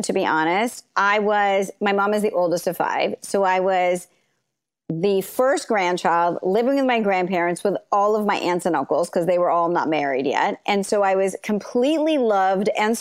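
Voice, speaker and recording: A middle-aged woman with an American accent answers interview questions at length. Her talk is animated, climbing high in pitch when she stresses a point and sliding low at the end of a thought. She sounds conversational and open. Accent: General American. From London, then Los Angeles from age nine. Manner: animated, rising to high emphasis, laughs while talking. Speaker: interview guest.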